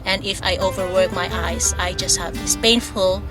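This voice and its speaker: A woman's voice: fairly low pitch, fairly even intonation, normal speed, poor audio. A woman from the Philippines, speaking English with a Filipino accent touched with Australian, talks casually in a vlog, laughing often and drawing out her words. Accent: Filipino, with some Australian. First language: Ilonggo. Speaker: vlogger. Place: home in Australia. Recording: music playing behind.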